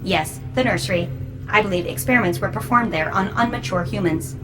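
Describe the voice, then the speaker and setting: An emotionless robot servitor, voiced by a woman, speaks in a mid-pitched feminine voice for a sci-fi audio drama. Its phrasing is formal, literal and precise, with detached machine calm. Music plays clearly underneath. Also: a little fast, close to an everyday voice, poor audio. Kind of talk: scripted audio drama acting.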